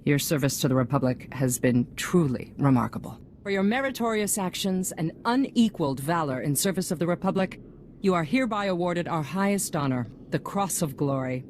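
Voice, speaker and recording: Casting: poised female galactic leader in a sci-fi game, low voice, polished statesmanlike delivery. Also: animated, brisk, darkish tone, slight background noise. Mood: gracious, formal. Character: poised, self-assured female head of a galactic government. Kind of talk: video game cutscene voice acting.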